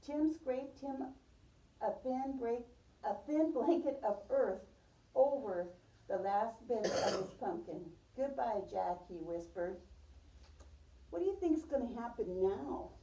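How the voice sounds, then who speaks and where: Female preschool teacher, storybook read-aloud with questions. Slow, very mellow delivery, roomy distant pickup. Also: a little high, animated. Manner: addresses listeners as young children, repeats their answers. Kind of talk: picture-book read-aloud with questions to children. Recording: roomy, distant microphone, muffled top end.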